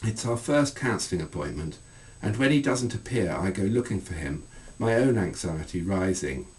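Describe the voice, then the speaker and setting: A middle-aged man reading aloud from his own book as an author, in measured, deliberate phrases. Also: low-pitched, sing-song, darkish tone, distant mic, poor audio. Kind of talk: scripted literary reading.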